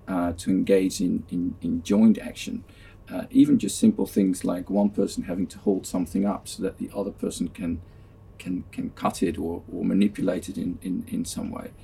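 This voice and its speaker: A man who is a professor of communication, hosting an academic meeting and then explaining his research in a measured way, often repeating words as he restarts. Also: deep voice, creaky, dark tone, husky. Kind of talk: casual academic online meeting.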